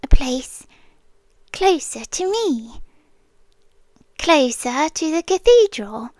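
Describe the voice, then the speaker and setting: High, slow, possessive British monster girlfriend played by a woman. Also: big pitch swings, no echo, heavily acted. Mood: calm, controlling confession. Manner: slow, hushed, often dropping into whispers. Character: possessive, obsessively protective female monster girlfriend, stalker guardian. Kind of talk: ASMR roleplay, intimate soft-spoken monologue. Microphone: close ASMR microphone, dry sound.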